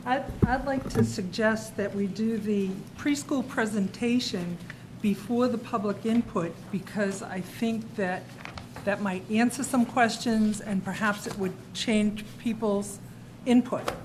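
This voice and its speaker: A woman on a school board, offering a measured suggestion with some hesitation. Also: mid-range voice. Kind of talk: speaking at a public meeting. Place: school board meeting room. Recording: noisy meeting-room recording.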